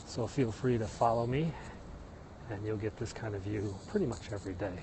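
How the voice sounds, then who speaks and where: A middle-aged man with a Midwestern American accent talks to his viewers as he walks a hillside trail. He sounds like a chatty tour guide pointing out the sights. His phone picks up loud cicadas and insects buzzing around him. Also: fairly low pitch, a little fast, noisy background. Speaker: live-streaming vlogger. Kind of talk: casual walking livestream chat. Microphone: phone held while walking.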